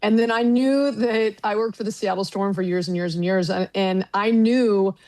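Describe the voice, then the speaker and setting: A middle-aged woman with an American accent talks as a sports broadcaster in a casual interview. Her pitch sits in the average female range. She tells her story conversationally, with a self-deprecating touch. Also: steady tone, moderate pace, darkish tone. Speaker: sports broadcaster, radio play-by-play announcer.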